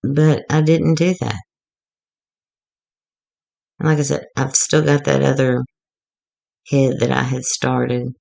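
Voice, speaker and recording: A woman going hoarse as she gives a rambling, chatty, self-deprecating walkthrough of a craft project. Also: a bass voice, level tone, slow, long pauses, dark tone. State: getting hoarse. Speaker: craft tutorial maker.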